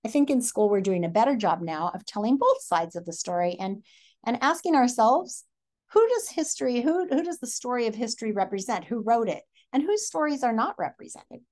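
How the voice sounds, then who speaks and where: A middle-aged woman with an American accent reads a picture book aloud, expressively, pausing to put questions to young listeners like a teacher. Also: fairly high pitch, very animated, a little mellow. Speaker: read-aloud presenter. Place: living room, indoors.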